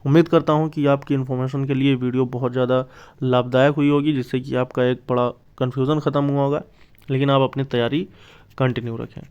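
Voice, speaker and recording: A man's voice: medium pitch, little pitch movement, fairly quick, a little mellow, smooth, dull audio. A man hosts an informal explainer for exam aspirants, stressing key points by repeating them. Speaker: exam-news YouTube host.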